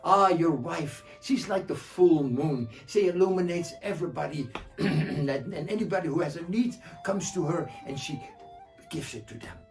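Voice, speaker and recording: A man's voice: a little high, expressive, moderate pace, distant mic, faint music behind. A man voicing a hungry, scheming male trickster who speaks in flowery, mock-soothing phrases. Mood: smooth-talking.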